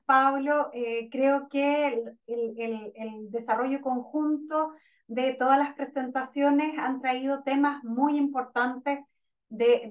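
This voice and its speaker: A woman moderating a virtual panel, hesitant and full of restarts. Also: sits high. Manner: hesitant, many fillers and restarts. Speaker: webinar moderator. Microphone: video call. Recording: distant, dull lo-fi call audio.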